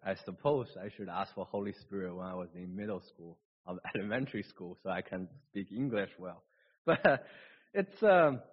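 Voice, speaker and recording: Husky man with a Chinese accent preaching. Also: fairly low pitch. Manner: halting, with restarts and slips. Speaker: pastor. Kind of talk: sermon with scripture reading. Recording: dull lo-fi recording.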